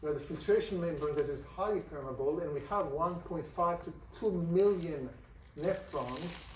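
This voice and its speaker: A man's voice: on the high side, moderate pace, a little mellow, a little hiss or hum. A man with an Israeli accent giving a university lecture to a large class, talking conversationally and often putting questions to the students. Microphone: phone recording from the audience seats. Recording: distant, roomy lecture hall recording.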